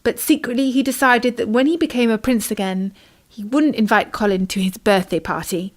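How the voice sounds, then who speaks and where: A British woman with a fairly high voice tells a children's story. Her reading is expressive, in the style of a bedtime audiobook. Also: moderate pace.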